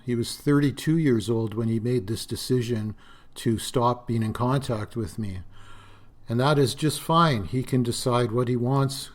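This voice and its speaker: Guy in his 60s rambling on his own podcast, kinda raspy dry voice, lots of uhs. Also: medium pitch, a little mellow. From Canada. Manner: rambling, reflective, frequent uh fillers. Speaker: podcast host. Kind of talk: solo podcast monologue.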